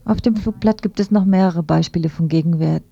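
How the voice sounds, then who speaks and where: A woman's voice: on the low side, a little fast, creaky, husky, no room sound, lo-fi sound. A woman radio presenter reading scripted news items in a studio.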